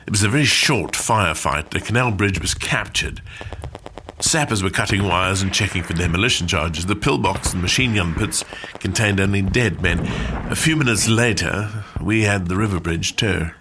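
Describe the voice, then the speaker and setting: A man's voice: low-pitched, moderate pace, background noise. An actor playing a modest, wry British airborne veteran telling his war story in understated, clipped phrases for a radio play. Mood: matter-of-fact. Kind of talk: dramatic monologue for a radio play.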